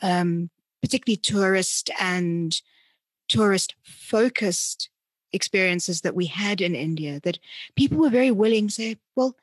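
A woman hosting a conversational interview, speaking deliberately and often rephrasing as she goes. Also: medium pitch, dry recording. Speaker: interviewer and podcast host.

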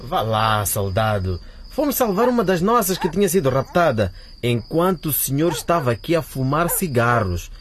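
A man's voice: on the high side, sing-song, normal speed. A man playing a cocky, impatient young student in a radio drama. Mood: impatient, cheeky.

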